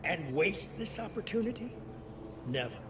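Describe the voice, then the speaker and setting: Grandiose, obsessed male zealot, higher-pitched. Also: sing-song, moderate pace, background noise. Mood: zealous. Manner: grandiose, insistent, speechifying. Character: obsessed, zealous male leader craving control, middle-aged. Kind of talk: dramatic video game acting.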